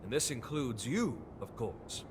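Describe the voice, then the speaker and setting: A man's voice: medium pitch, big pitch swings, a little slow, noisy background. An actor playing a flamboyant, centuries-old nobleman in a video game, with a theatrical, courtly and pompous delivery. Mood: courtly, delighted. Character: flamboyant, noble, centuries-old immortal man, grandiose and devoted. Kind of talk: video game character acting.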